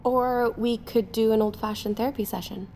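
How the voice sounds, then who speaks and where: An actress playing an insistent, troubled therapy client in a naturalistic audio drama. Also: female voice, on the high side, steady tone, moderate pace, darkish tone, slight background noise, close to an everyday voice.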